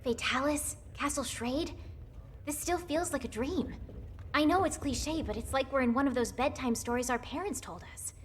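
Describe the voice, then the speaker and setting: A woman's voice: high voice, moderate pace, natural voice. A woman playing an eager young expedition member full of wonder in a video game. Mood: awed. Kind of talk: video game cutscene acting. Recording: faint ambient noise behind.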